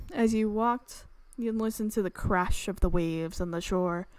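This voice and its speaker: A woman's voice: medium pitch, dry recording. A woman game streamer reading visual novel narration aloud, breaking off into chatty, exclamatory asides. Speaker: gaming channel host. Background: game music.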